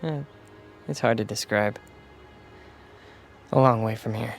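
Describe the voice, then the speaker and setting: Awkward, slow-talking young oddball. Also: male voice, lowish voice. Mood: guarded, hesitant. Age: young adult. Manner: halting, stilted, swinging from professorial wording to beatnik slang. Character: awkward young leather-jacketed newcomer, stiffly faking hip slang. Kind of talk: radio drama acting. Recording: music bed and engine sounds behind.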